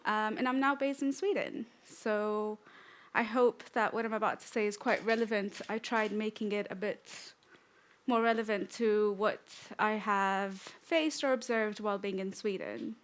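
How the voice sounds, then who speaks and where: A young woman from Tanzania giving a conference talk on stage, relaxed and joking at times. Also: medium pitch, measured pace, slight background noise. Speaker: conference speaker. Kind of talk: prepared talk with light humor.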